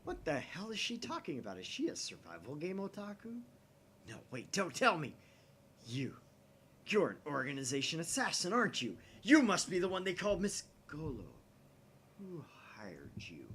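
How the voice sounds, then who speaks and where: Visual novel lead, male reader: paranoid young mad scientist, theatrical, animated delivery, slightly noisy home recording. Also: fairly high pitch, normal speed, pitched above the performer's own voice. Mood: dramatic suspicion. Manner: theatrical, suspicious, dramatic whispers and outbursts.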